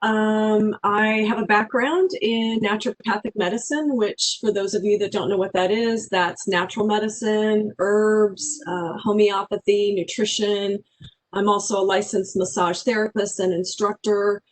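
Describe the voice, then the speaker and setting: A woman's voice: mid-range voice, fairly even intonation, unhurried. A woman from Wisconsin, an end-of-life doula, giving earnest personal introductory remarks over a video call. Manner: earnest, personal, some restarts.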